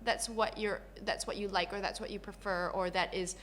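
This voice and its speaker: A young woman talks in a bright, crisp voice, sitting in as a podcast guest. She laughs easily and tells stories with lively energy. She was born in Malaysia and grew up in Canada. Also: mid-range voice, moderate pace, slight background noise. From Malaysia, raised in Canada. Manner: laughs often, animated storytelling. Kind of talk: casual interview chat.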